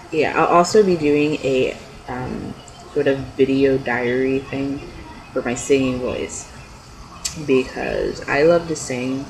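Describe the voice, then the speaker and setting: A young man chats casually and unscripted in a rambling, hesitant way, like a personal video blog. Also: gravelly. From California, United States. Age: young adult. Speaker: video blogger. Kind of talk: casual unscripted chat to camera. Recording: noisy low-quality amateur webcam-style audio.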